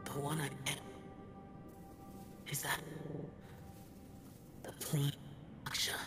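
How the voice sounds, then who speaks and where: Slow, brooding immortal demon slayer. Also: male voice, a little high, completely flat delivery. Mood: troubled. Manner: terse, slow, solemn. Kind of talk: video game voice acting. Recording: loud music bed underneath. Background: game music.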